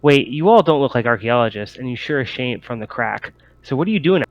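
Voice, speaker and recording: A man's voice: mid-range voice, lively intonation, fast talker, lightly acted. Man playing a cocky young scavenger, dull audio. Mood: puzzled, wary. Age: young adult. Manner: casual, profane, matter-of-fact. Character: young male scavenger, casual, cocky, rough-spoken.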